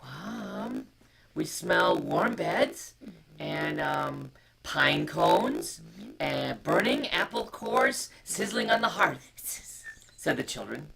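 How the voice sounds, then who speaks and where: A storytime host reading a picture book aloud in a hushed way that drops into whispers, and chatting casually. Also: medium pitch, huge pitch swings, extremely slow, creaky, crowd noise.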